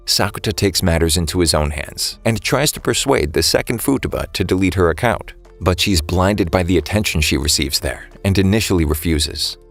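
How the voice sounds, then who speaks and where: A man's voice: sits low, animated, normal speed, creaky, few pauses, a bit bright, faint music behind. A man reading scripted narration as the narrator of a story recap.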